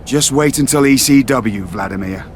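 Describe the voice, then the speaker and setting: A man's voice: mid-range voice, normal speed, slight background noise, natural voice. A man voicing an indignant, fussy wrestler who speaks in an affronted, formal way in a game.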